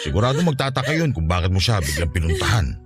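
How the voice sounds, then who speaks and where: A man's voice: sits low, sing-song, quick pace, faint music behind. A man acting a reassuring husband and father in a Filipino radio drama, soothing and reasoning.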